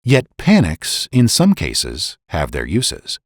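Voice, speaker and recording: A man's voice: low voice, huge pitch swings, slow, dry recording, clean studio sound. A man reading scripted historical narration in a solemn, oratorical way with emphatic phrasing. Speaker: podcast narrator and reader.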